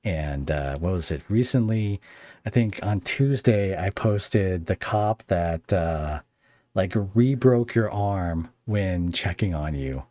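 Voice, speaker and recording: A man with a low-pitched voice hosts a relaxed, conversational interview. The sound is dry, with hardly any room in it. His phrasing is somewhat halting as he works out what to say. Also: dry recording. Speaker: podcast host and interviewer. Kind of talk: casual interview.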